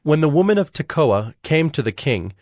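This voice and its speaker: A man narrating scripture from a script in a measured, solemn storytelling manner. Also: fairly high pitch, big pitch swings, a little mellow, dry recording, studio quality.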